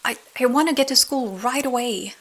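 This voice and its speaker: A woman voicing a naive, excitable little puppet boy in a bedtime story, earnest and stammering. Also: on the high side, moderate pace, pitched above the performer's own voice.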